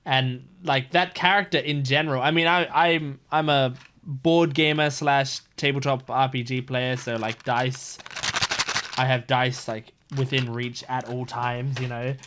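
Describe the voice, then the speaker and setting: A man's voice: mid-range voice, steady tone, unhurried, fairly bright tone, slight background noise. A man hosting a reaction video, giving casual, gushing and enthusiastic commentary.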